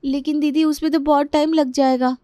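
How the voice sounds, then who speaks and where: Woman acting young bahu in a family story, really high voice, whiny and dramatic, always complaining. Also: flat delivery, brisk, mellow, no room sound, clean studio sound, lightly acted. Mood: exasperated. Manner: exasperated, dramatic complaining with exclamations. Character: young new daughter-in-law, whiny, complaining, modern. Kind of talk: acted Hindi family story dialogue.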